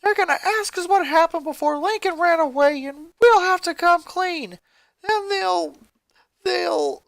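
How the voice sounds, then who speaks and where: A woman's voice: extremely high pitch, measured pace, vocal fry, a bit bright, no echo, pitched above the performer's own voice, full character voice. A woman voicing a panicky, bossy young girl in a story reading. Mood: frantic.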